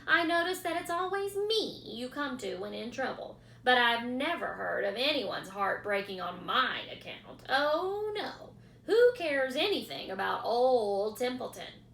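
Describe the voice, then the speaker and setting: A woman voicing a lazy, grumpy male rat who talks in a gruff, sarcastic, mocking way, in an echoey room. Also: high-pitched, very animated, unhurried, bright, forceful tone, voice pushed up, heavily acted.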